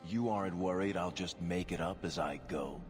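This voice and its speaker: An actor playing a roguish dwarf storyteller in a video game, sardonic, smooth and theatrical. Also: male voice, low voice, normal speed, natural voice. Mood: sardonic, guarded. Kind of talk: dramatic video game acting and narration. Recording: long reverb, loud music behind.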